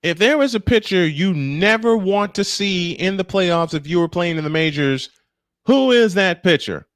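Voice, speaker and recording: A man's voice: high voice, lively intonation, moderate pace, a bit bright, no room sound. Punchy male baseball announcer, dry close sound. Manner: punchy, clipped announcer phrasing. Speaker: play-by-play announcer and host. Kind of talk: live baseball play-by-play with casual chat.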